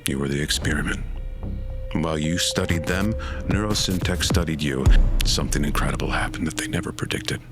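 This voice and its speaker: Grieving scientist, grave n solemn, really low voice, thriller audio drama, music blaring behind. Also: male voice, fairly quick. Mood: intense, sombre. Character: grave, grieving male cognitive scientist confessing dark secrets. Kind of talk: scripted audio thriller monologue. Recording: very loud dramatic music bed.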